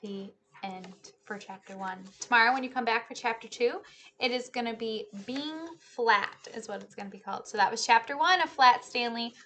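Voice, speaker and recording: A woman with a fairly high, very bright voice addresses young children as a teacher would. Her delivery is expressive, suited to a storybook read-aloud. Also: normal speed. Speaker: teacher reading aloud to pupils.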